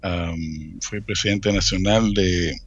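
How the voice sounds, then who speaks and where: Low-voiced Dominican man with pauses. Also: fairly even intonation, husky, muffled recording. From Dominican Republic. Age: late 30s. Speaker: interview guest, church ministry worker. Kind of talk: casual personal storytelling in an interview.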